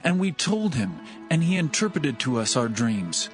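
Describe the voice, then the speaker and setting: A man's voice: medium pitch, big pitch swings, normal speed, close to an everyday voice. A man playing a contrite royal servant in a dramatized scripture reading, earnest, formal and deferential. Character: contrite royal servant confessing and recounting the past. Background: soothing background music.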